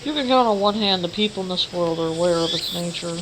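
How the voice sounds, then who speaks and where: A woman's voice: on the low side, fast talker, background noise. A woman doing a casual let's play, chatting and reading game text aloud. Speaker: gamer streamer.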